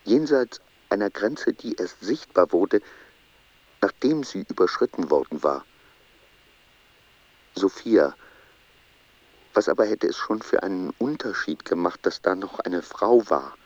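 An actor playing a brooding man who confesses an obsessive affair in a literary radio play, with slow, deliberate delivery. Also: low voice, sing-song, darkish tone, no room sound, dull audio, full character voice. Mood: brooding, haunted.

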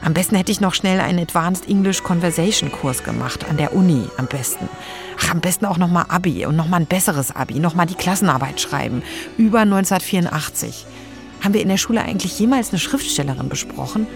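A woman's voice: fairly low pitch, lively intonation, quick pace, vocal fry. A woman playing an anxious, self-doubting reporter, speaking her inner thoughts as first-person radio play narration. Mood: anxious, hesitant. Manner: self-questioning, introspective. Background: music.

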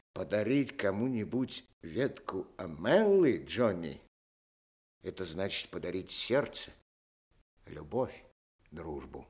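An actor playing a talkative, humble old school caretaker with a folksy, deferential manner in 1960s radio drama style. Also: male voice, low-pitched. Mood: tender, reminiscing. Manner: deferential, folksy, talkative, often says sir.

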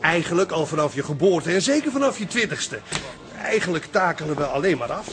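An actor playing a pompous, self-assured know-it-all in a radio comedy-drama, lecturing pedantically. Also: male voice, a little high, sing-song, fairly quick, background noise.

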